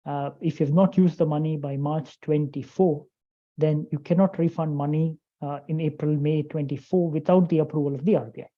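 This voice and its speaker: A guest on a business webinar over a video call, explaining compliance rules in a measured, careful way. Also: mid-range voice, fairly even intonation. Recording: dull lo-fi call audio.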